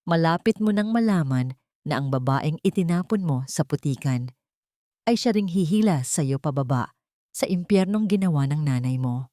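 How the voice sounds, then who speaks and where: A woman voicing a steely, fearless wronged mother bent on revenge, measured and menacing. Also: deep voice, lively intonation, warm tone, dry recording, clean studio sound. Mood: quiet menace.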